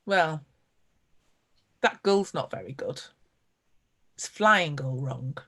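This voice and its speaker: A woman storyteller voicing a shrewd, kindly village shopkeeper who talks briskly and teasingly. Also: sits low, expressive, fairly bright tone, voice pushed down, full character voice.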